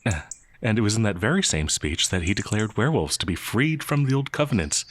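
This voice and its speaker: A man playing a gentle, naive young peasant werewolf, hesitant and easily flustered, in a fantasy audio roleplay. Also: lowish voice, very animated, brisk, slight background noise. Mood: earnest, wistful.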